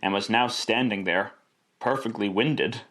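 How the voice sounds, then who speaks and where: A man's voice: fairly low pitch, measured pace, a bit bright. A man narrating a Christmas tale in classic radio style, wry and theatrical, savouring each list and repetition. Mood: amused.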